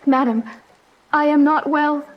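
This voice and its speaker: A woman acts a lovesick young noblewoman with a high, passionate voice. She delivers archaic verse fervently and with anguish, as in a classical radio tragedy. Light noise and ambience sit behind her. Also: fairly even intonation, measured pace, highs cut off. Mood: sorrowful, guarded. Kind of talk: Shakespearean radio drama acting.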